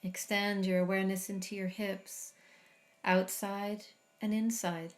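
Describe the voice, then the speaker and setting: A middle-aged woman guiding a body scan meditation, speaking slowly and soothingly with long pauses. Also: medium pitch, little pitch movement. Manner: slow, soft, soothing guidance with long pauses. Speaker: meditation teacher.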